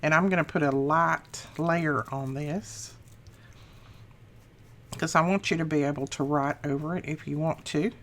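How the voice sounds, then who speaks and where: A middle-aged woman hosting a craft channel, chatting casually through a tutorial with a slightly rough morning voice, laughing at her own mistakes. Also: low-pitched, fairly even intonation, unhurried, a bit bright.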